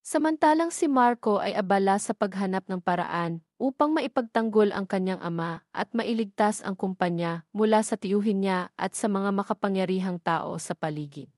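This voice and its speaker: A woman's voice: medium pitch, moderate pace, darkish tone, no room sound, clean studio sound. A woman delivering scripted narration for an audio drama story.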